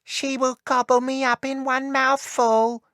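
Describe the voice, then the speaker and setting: A woman's voice: sits high, flat delivery, unhurried, a bit bright, no room sound, studio quality, pitched above the performer's own voice. A woman voicing a small, tender-hearted young male elf in a children's storybook, earnest and childlike. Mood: terrified. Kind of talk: children's storybook read aloud.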